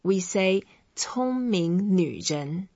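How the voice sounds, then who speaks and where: A woman with a Chinese accent, a native Chinese speaker, teaching vocabulary in a language lesson and saying each syllable slowly and clearly. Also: mid-range voice, animated, warm tone, no room sound, lo-fi sound. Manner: slow, clearly separated syllables when modelling words. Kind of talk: language lesson with repeat-after-me drills.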